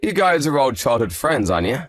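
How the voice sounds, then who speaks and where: An earnest young husband, played by a man, speaks quickly with an animated, swinging melody. He is frank and expressive, in a scripted domestic story drama with a dry sound. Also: mid-range voice, dry recording, lightly acted. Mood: chatty, frank.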